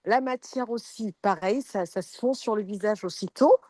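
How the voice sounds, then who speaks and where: A woman's voice: mid-range voice, normal speed, dark tone, dry recording. A woman sharing a hesitant, friendly customer testimonial on a video call. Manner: hesitant, many fillers and restarts, friendly.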